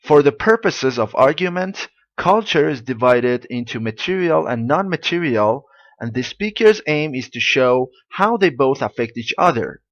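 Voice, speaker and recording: A man summarizing a lecture in a clear, deliberate way, as a model answer for exam practice. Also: medium pitch, lively intonation, no echo. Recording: dull, lo-fi dry recording.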